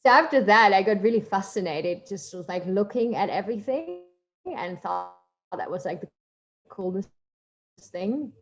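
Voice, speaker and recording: A woman's voice: medium pitch, animated, unhurried, music in the background. Chatty German-accented woman. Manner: chatty, loose, lots of fillers and asides. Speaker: marine biologist guest speaker. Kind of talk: casual online talk.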